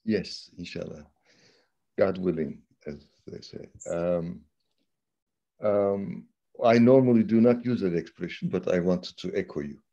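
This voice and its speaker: Brief: male interviewer, slow and halting, dark mellow voice, many pauses. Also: low voice, gravelly, muffled recording. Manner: hesitant, pausing often with "uh".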